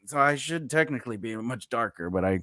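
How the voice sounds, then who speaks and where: A man's voice: mid-range voice, lively intonation, a little fast, fairly bright tone, no room sound, studio quality. A man hosting a casual podcast chat, rambling with lots of fillers and restarts. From United States. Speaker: podcast host.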